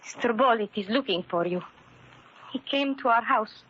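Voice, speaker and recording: High-voiced, wounded immigrant wife played by an actress. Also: female voice, a bit bright, slight background noise, close to an everyday voice. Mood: cold, wounded. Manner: halting, formal phrasing without contractions. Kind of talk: 1940s radio drama acting. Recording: muffled, worn 1940s radio recording.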